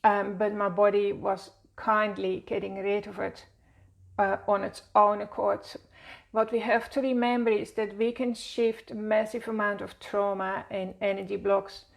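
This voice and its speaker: A woman with a Dutch accent guiding a meditation lesson in a slow, gentle, soothing way, seated and speaking to camera. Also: mid-range voice, little pitch movement, gravelly. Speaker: wellness teacher. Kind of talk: guided meditation teaching. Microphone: seated at a desk, speaking to camera.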